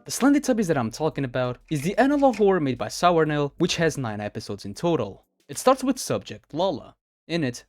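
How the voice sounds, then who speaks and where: A man delivers scripted story recaps as a video narrator. His voice sits a little high and moves up and down a great deal. The sound is very dry, with no room around it. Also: darkish tone, no room sound. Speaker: narrator of a horror video countdown.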